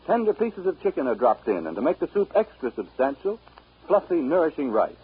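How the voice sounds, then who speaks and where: A muffled, scratchy 1930s broadcast features an American man announcing. His voice is a little high with a rough grain, swinging widely in pitch as he speaks with polished, emphatic salesmanship. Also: big pitch swings, brisk. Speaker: radio announcer.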